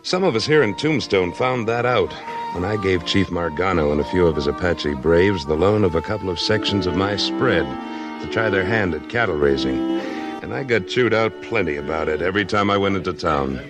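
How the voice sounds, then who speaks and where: A man's voice: sits low, expressive, fairly quick, over loud music. An actor playing a tough, fair-minded Old West cattleman in a 1950s radio western, laconic and plain-spoken. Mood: steady, firm.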